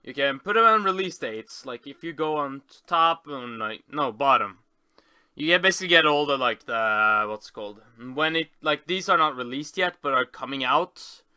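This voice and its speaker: Man, casual video commentary, sharp bright tone, clean and dry, halting, rambling, self-correcting. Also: mid-range voice, animated, clear, resonant tone, no room sound. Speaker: video host. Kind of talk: casual screen-tour commentary.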